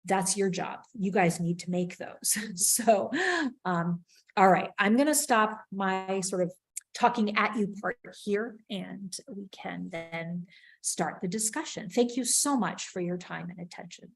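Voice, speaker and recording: A woman's voice: medium pitch, measured pace, creaky. A woman guest lecturer wrapping up her talk, fluent and direct. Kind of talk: closing a lecture.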